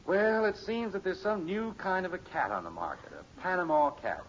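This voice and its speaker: Animated 1950s American sitcom dad. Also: male voice, sits high, normal speed. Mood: amused. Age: middle-aged. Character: middle-aged suburban father, patient, reasonable, proud of bargains. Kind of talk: 1950s radio sitcom acting. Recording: muffled, noisy old radio recording.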